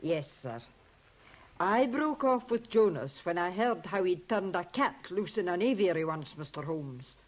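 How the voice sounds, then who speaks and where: A woman's voice: fairly low pitch, expressive, unhurried. Earnest, anxious English mother. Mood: bitter, earnest. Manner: earnest, emotional. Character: anxious, respectful middle-aged mother, humble and proud. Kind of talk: 1950s radio drama acting.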